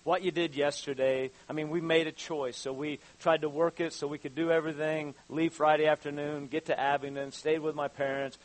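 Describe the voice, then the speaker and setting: A man's voice: on the high side, little pitch movement, fairly quick, few pauses, poor audio. A man in his fifties preaching a sermon, stumbling over repeated words and then building up to fervent exhortation. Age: 50s. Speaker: pastor.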